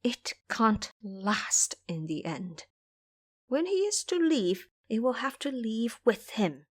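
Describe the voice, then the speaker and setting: A woman's voice: a little high, sing-song, slow pace, full character voice. A woman voicing a very old, greedy male monk with a plaintive, self-pitying way of talking in an audio drama. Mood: mournful.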